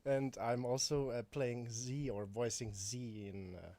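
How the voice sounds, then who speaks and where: A man's voice: mid-range voice, a little slow, warm tone. A man introducing himself casually and hesitantly as a guest on a livestream. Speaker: musician and voice actor.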